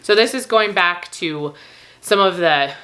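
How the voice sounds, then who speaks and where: A woman with a slightly rough, recovering voice, rambling casually and informally to camera as she reviews books. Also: on the low side, measured pace, pushed and bright, rough recording. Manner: informal, laughs often while talking. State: slightly rough, gravelly voice after losing it. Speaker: book review vlogger.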